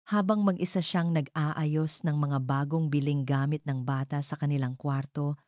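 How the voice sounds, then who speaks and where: A woman's voice: deep voice, mellow, dry recording, clean studio sound. A woman reading scripted narration for a dramatic love story.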